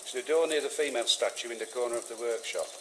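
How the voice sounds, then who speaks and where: Actor as brisk, bright-voiced Victorian workman. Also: mid-range voice, a little hiss or hum, lightly acted. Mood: busy, curt. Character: busy, brusque Victorian foundry workman. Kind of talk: scripted video game dialogue.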